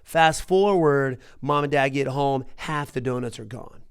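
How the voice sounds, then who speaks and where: A man hosting a podcast, telling stories in casual chat with an animated manner and repeating himself for emphasis. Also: mid-range voice, a little slow, clear, resonant tone. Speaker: podcast host.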